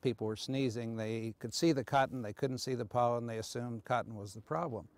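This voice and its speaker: A man's voice: mid-range voice, fairly even intonation, brisk, dry recording. A man explaining matters plainly in a short news interview clip.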